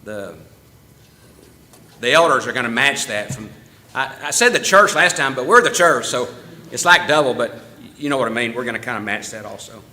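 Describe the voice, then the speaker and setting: Bright-voiced folksy man. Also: medium pitch, animated, normal speed. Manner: folksy, chatty, laughs easily, with small restarts. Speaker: church member giving announcements. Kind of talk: casual church announcements. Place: church meeting room.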